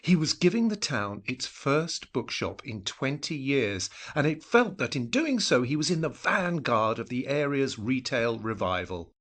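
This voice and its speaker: A man narrating a scripted literary story in a measured, wry storytelling manner. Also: mid-range voice, sing-song, fairly bright tone. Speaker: narrator of an audio drama.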